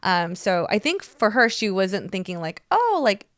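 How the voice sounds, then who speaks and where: A woman's voice: mid-range voice, big pitch swings, unhurried, no room sound. A young American woman co-hosting a podcast in casual chat, rambling with lots of "like" and acting out the voices of people she quotes. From United States. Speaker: podcast co-host.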